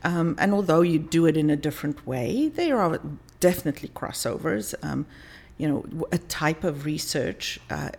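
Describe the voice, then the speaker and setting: This is a woman in her sixties with a South African accent, speaking as a life coach in a relaxed podcast chat. Her voice sits low and carries a gravelly edge. She talks warmly and laughs easily. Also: moderate pace. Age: 60s. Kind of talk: podcast interview.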